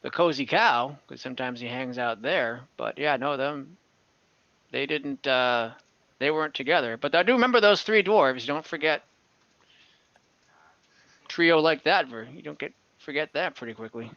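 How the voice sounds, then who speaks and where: A man voicing a gruff, laconic old male bartender who trades gossip in clipped, hesitant bits. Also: mid-range voice, expressive, moderate pace, sharp tone, no echo. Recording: dull dry lo-fi audio.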